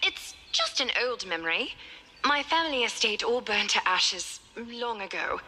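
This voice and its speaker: Haughty, unhurried noble seamstress. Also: female voice, a little high, very animated, sharp tone, exaggerated voice, rough recording. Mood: guarded, probing. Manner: haughty, measured, formal. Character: poised, proud noblewoman seamstress, shrewd and stern. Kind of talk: video game voice acting. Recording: music under the voice. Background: game soundtrack music.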